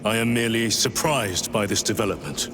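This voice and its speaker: A man's voice: on the low side, expressive, a little fast, close to an everyday voice. Man voicing dutiful warrior sergeant, formal and respectful, noisy game mix.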